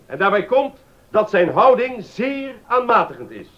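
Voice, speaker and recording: A stern, accusing councillor in a period docudrama, high in pitch and swinging emphatically. The sound is dull and lo-fi. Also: male voice, sing-song, unhurried. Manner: emphatic, formal, severe. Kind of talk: Dutch docudrama acting. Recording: dull lo-fi recording.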